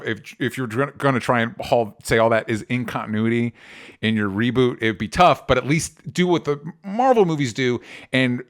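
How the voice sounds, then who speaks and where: A man's voice: on the low side, a bit bright. Rambling, opinionated male podcast host. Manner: rambling, opinionated, self-correcting, casual swearing. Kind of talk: casual pop culture discussion.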